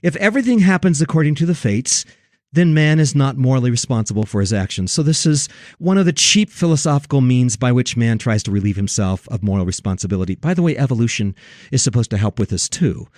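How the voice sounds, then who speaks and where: A man's voice: medium pitch, lively intonation, fast, a little mellow, dry recording, studio quality. An American man hosting a religious talk radio show, speaking emphatically and rhetorically to his listeners.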